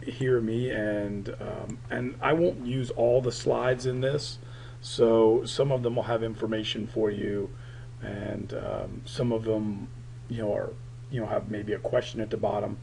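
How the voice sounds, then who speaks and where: A male political science teacher giving a recorded screen lecture, his voice raspy while it recovers, talking in a halting way with many fillers. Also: on the low side, fairly even intonation, dark tone, slight background noise.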